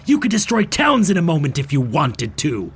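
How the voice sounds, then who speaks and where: An actor playing Van Helsing, a bitter, taunting vampire hunter, in a theatrical radio drama style. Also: male voice, a little high, huge pitch swings, moderate pace, a little hiss or hum. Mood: goading.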